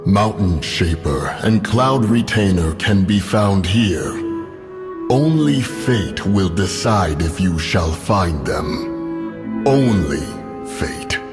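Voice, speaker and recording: An actor playing a proud, solemn immortal guardian beast in a fantasy game, speaking in pompous, archaic, deliberate phrases. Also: male voice, low-pitched. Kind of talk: theatrical fantasy game voice acting.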